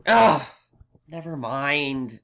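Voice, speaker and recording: Woman as a slow-paced brainy unicorn stallion. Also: low voice, animated, fairly bright tone, full character voice. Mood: exasperated probing. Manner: measured, questioning, exasperated. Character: exasperated, brainy young male unicorn magician pony. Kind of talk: fan audio drama acting.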